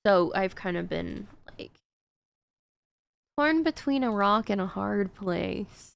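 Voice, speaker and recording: A woman's voice: medium pitch, expressive, takes it slowly, gravelly, no room sound. A woman streaming a game, chatting casually to her viewers in a rambling way with frequent fillers. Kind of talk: casual chat while gaming.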